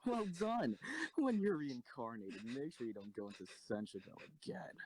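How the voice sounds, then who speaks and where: A man's voice: medium pitch, huge pitch swings, normal speed, a little mellow. A man acting a cruel, mocking, foul-mouthed soldier executioner in an audio drama, taunting and theatrical. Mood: mocking menace.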